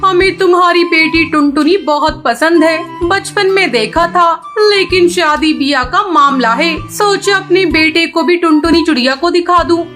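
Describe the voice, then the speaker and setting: A woman's voice: very high-pitched, unhurried, hardly any pauses, a bit bright. A woman voicing a friendly middle-aged mother pigeon in a cartoon, polite in manner. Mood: pleased, polite. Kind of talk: Hindi cartoon voice acting. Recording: faint music behind.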